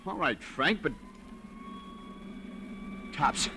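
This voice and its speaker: An actor playing a brash, trigger-happy young bank robber, sneering and slangy, in a 1940s radio thriller. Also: male voice, huge pitch swings, drawn-out words, sharp tone, exaggerated voice. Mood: angry, defiant. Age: young adult. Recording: noisy old radio recording, engine rumble.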